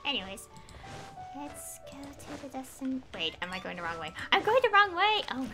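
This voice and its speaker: A woman's voice: high voice, sing-song, normal speed, sharp tone. A woman doing casual live gameplay commentary, thinking aloud in a self-amused way. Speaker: game streamer. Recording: faint game music behind.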